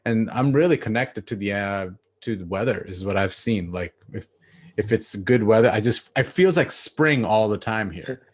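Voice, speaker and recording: A man in his late thirties, born in Bangladesh, chatting casually as a podcast guest over a video call, relaxed and laughing, with lots of fillers. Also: lowish voice, moderate pace. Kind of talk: casual interview chat.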